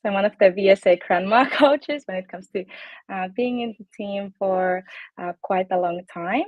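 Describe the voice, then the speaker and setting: A woman's voice: medium pitch, normal speed, no room sound. Young woman, Finnish accent, bubbly, chatty, dry dull lo-fi sound. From Finland. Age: 20s. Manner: bubbly, frequent ums and laughs. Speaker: fitness coach, guest. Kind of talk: casual interview chat.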